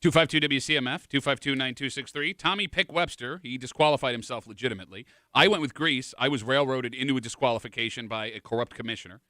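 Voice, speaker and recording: Male radio host, bright and dry-miked, playful and mock-outraged. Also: medium pitch, quick pace, hardly any pauses, no echo. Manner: joking, mock-indignant. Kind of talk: morning radio banter.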